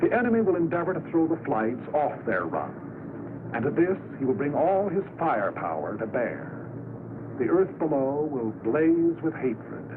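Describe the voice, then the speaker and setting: An American man narrates a 1940s wartime documentary in a solemn, literary manner. His pitch moves expressively through each measured phrase. The sound is a thin, narrow-band vintage film track with plenty of noise behind it. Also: sing-song.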